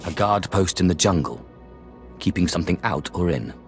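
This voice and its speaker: A cold dark lord in a video game. His very low voice moves with lively, sneering contempt, curt and haughty, over faint music. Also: normal speed. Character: cold, contemptuous Sith lord player character. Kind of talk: video game cutscene acting.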